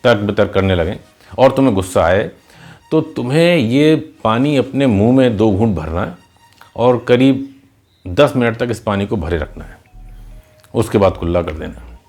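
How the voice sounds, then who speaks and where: A man voicing a wise, kindly old village woman who gives patient, gentle instruction in a moral story. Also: lowish voice, expressive, normal speed, long pauses, soft background music. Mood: instructive. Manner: patient, instructive, addresses listener as child.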